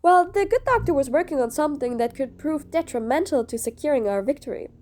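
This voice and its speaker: Woman acting a high-voiced, sardonic female supervillain. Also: lively intonation, fairly quick, a little mellow, slight background noise. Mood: businesslike. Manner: bossy, sardonic, threatening with grudging fondness. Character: mean but patient female supervillain, mocking and menacing. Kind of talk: scripted audio roleplay addressed to the listener.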